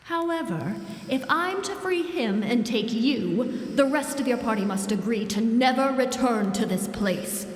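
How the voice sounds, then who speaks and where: A woman's voice: on the high side, expressive, unhurried, hardly any pauses. An actress playing a malicious, lonely beast woman in an audio drama, speaking theatrically with menacing mock sweetness. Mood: commanding. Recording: cavernous reverberant hall effect.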